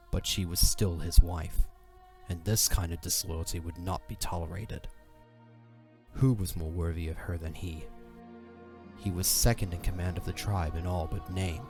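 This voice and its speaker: A man with a low voice narrates a scripted audio drama. He delivers it as storytelling, with faint music playing underneath. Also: darkish tone.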